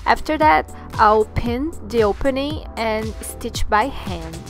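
Young woman w/ brazilian portuguese accent, kinda high voice, chatty diy sewing tutorial, bit halting english. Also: expressive, soft background music. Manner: chatty, slightly halting non-native phrasing. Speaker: sewing channel host.

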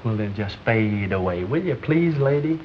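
An actor playing a gruff, slangy, dismissive male studio doorkeeper in an old-time comic drama. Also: fairly low pitch, animated, normal speed, natural voice. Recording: noisy, muffled old film soundtrack.

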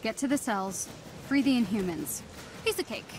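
A woman's voice: a little high, lively intonation, normal speed, darkish tone, faint music behind. A woman voicing an earnest, nervous but plucky teenage girl superhero in a video game, with a casual, hesitant way of talking. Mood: determined.

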